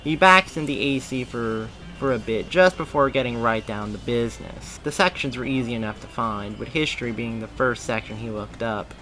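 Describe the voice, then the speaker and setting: Bright-voiced man reading a story aloud. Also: medium pitch, normal speed, few pauses, rough recording. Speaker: narrator reading fan fiction aloud.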